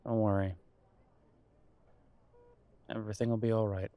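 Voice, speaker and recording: Actor playing a low-voiced, hesitant young boyfriend. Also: male voice, little pitch movement. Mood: reassuring, nervous. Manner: hesitant, halting, sighing delivery with soft chuckles. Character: nervous, reassuring grown son visiting his mother, affectionate boyfriend. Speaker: voice actor in audio roleplay. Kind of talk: intimate audio roleplay acting.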